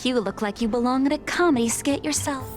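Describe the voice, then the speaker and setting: High-voiced young female researcher acted by a woman. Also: brisk, lightly acted. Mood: let down. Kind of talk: video game character acting.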